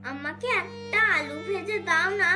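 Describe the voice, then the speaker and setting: A woman's voice: very high-pitched, a little slow, over music. A woman voicing a small, hungry village boy in a cartoon folk tale, pleading and complaining.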